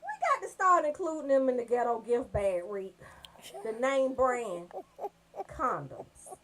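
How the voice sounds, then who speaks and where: A woman's voice: a little high, a little slow, slight background noise. A woman hosting a comedy radio show, delivering raunchy monologue and banter in a brash, emphatic way. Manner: brash, animated storytelling with emphatic check-ins.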